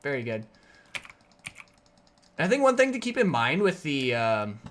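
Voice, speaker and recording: A game streamer giving live gameplay commentary in a raspy, sore voice, upbeat and explaining plays with sudden excited outbursts. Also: medium pitch, unhurried. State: raspy, sore voice from energy drinks.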